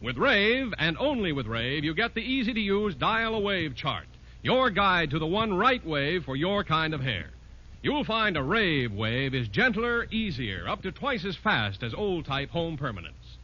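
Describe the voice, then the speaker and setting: A man's voice: fairly high pitch, sing-song, a little slow, sharp tone. A man reading a polished 1950s radio commercial as an announcer. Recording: muffled, noisy old radio recording.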